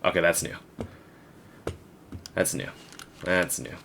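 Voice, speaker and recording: Male gaming commentator: deep register, casual, hesitant think-aloud delivery, audible background noise. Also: slow pace, gravelly. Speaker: gaming video creator.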